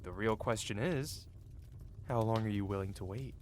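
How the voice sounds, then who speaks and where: A man's voice: on the low side, normal speed, darkish tone, a little hiss or hum, lightly acted. A man playing a cocky, flirtatious medieval blacksmith in a fantasy ASMR roleplay, with a playful, teasing and theatrical way of talking. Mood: sly, businesslike.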